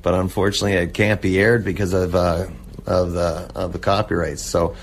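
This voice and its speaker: Low-voiced man chatting in an interview. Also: level tone, a little fast, slight background noise. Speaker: interview guest, old-time radio collector and restorer. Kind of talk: casual radio interview talk.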